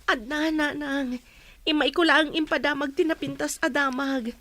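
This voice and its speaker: An anxious young wife in an Ilocano radio play, with an Ilocano accent. Her voice is high and dry, and she pleads urgently over faint music. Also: fairly even intonation, moderate pace, fairly bright tone, no echo. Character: anxious young wife awaiting news of husband. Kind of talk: radio drama acting.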